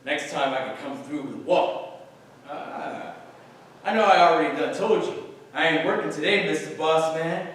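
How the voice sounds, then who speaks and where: A man's voice: on the high side, moderate pace, fairly bright tone, lightly acted. A man playing a cocky, jive-talking, boisterous actor in a staged play reading, with a folksy swagger, in an echoey hall. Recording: echoey hall, distant mic.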